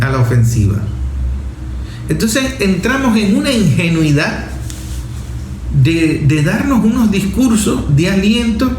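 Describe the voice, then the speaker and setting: A man's voice: high voice, darkish tone. A man with a Venezuelan accent giving impassioned political commentary, insistent and repetitive, driving points home with rhetorical questions. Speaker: journalist and speaker. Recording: echoey room with faint noise.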